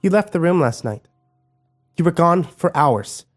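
A man narrating an audiobook voices a furious, grieving young woman, shouting bitter accusations. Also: on the high side, animated, a little slow, soft background music, pitched above the performer's own voice. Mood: accusing.

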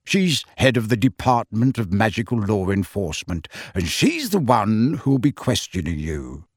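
Man voicing a kindly, measured middle-aged father. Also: on the low side, very animated, husky, no room sound, clean studio sound. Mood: reassuring. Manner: gentle, measured, bracing.

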